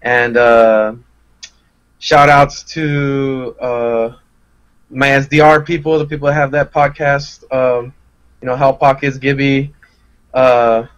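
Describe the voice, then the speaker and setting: Medium-pitched man, earnest podcast guest. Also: steady tone, slow pace, smooth, low-quality recording. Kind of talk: casual live podcast chat.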